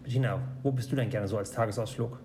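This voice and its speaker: A man from Germany, co-hosting a podcast in a relaxed, joking way. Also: fairly low pitch, fast talker, a little hiss or hum. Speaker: podcast co-host. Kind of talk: casual podcast chat.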